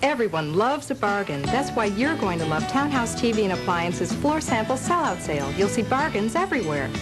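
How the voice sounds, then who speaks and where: Casting: female commercial announcer, lively swings in pitch, upbeat sales read over loud music. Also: a little fast. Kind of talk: upbeat scripted retail ad read.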